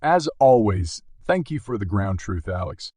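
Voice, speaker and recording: Man acting as an urgent, earnest TV meteorologist: lowish voice, close dry sound, emphatic live storm coverage. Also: sing-song, unhurried, a little mellow, no echo. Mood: focused. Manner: emphatic, addresses viewers directly. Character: earnest, urgent male TV weather broadcaster. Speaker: broadcast meteorologist. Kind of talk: live severe weather coverage.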